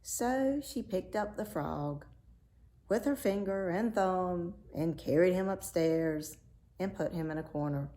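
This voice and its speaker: A woman reading a fairy tale aloud as a storyteller, measured and expressive. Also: on the low side.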